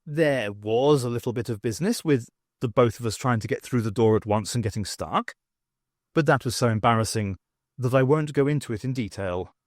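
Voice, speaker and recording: A man narrating scripted fiction for an audiobook in an expressive, theatrical storytelling manner. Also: medium pitch, a little fast, no echo, clean studio sound.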